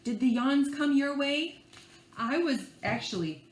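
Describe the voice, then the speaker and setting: A woman reading a picture book to young children in an expressive, playful and theatrical way, drawing out words and adding hushed asides. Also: high voice, unhurried. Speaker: librarian reading at children's storytime. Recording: echoey room with faint background noise.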